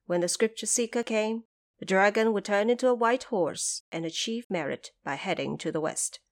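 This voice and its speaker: A woman reading scripted narration of a classic fantasy tale for an audio drama. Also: mid-range voice. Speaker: storyteller.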